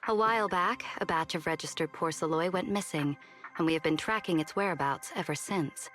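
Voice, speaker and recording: Woman playing classy teasing game lady, lowish sultry voice w a slight rasp, faint music under it. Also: a bit bright, lightly acted. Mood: composed, serious. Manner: measured, composed, silky. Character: elegant, poised, teasing adult female official. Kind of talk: video game voice acting.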